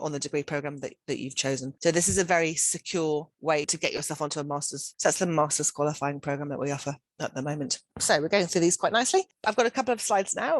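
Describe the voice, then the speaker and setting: A woman with a notably low voice presents a study program over a video call, upbeat and persuasive and often restarting her phrases. The sound is dry and close, with no room echo. She is middle-aged and speaks as a centre director. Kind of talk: promotional info-session presentation.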